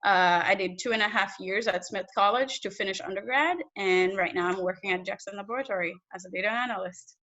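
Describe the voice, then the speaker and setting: A young woman from Haiti talks casually over a video call, telling lively stories with frequent fillers. Her pitch sits in the middle range. The audio is dull and lo-fi, picked up by a laptop mic in a small room. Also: fairly quick, hardly any pauses. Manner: animated storyteller, many fillers. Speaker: research data analyst, guest. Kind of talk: casual interview chat. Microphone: laptop webcam mic on video call.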